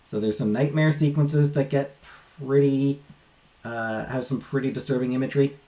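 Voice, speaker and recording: A man talking casually and unscripted as a book reviewer, in a halting, hesitant way with trailing asides. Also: mid-range voice, fairly even intonation, mellow.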